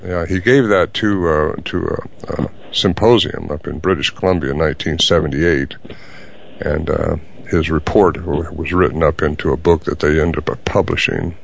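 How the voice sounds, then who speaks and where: An older man answering interview questions in a halting way, with many fillers. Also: extremely low pitch, steady tone, creaky, slight background noise. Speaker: guest. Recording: dull lo-fi audio.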